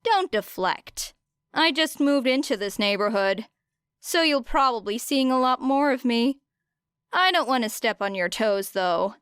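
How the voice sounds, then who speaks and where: A woman's voice: on the high side, animated, a little slow, fairly bright tone, smooth, dry recording, voice pushed up. Woman voicing a cocky, sarcastic young girl. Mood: cheeky, dry. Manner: snarky, dry, teasing. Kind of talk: audiobook character dialogue.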